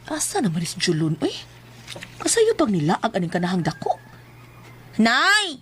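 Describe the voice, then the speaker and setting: A woman's voice: medium pitch, huge pitch swings, normal speed, a bit bright, slight background noise. A woman with a Cebuano accent playing a bossy, exasperated wife who scolds insistently in a comic audio drama. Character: nagging, bossy, exasperated wife pushing her timid husband. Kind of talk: comic Filipino radio-style drama acting.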